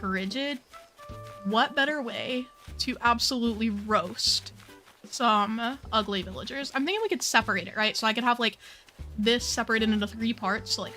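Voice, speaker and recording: Young female streamer, chatty and bubbly, slightly worn voice, soft game music under her. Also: a little high. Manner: chatty, bubbly, says "like" a lot. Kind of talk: casual game stream chat.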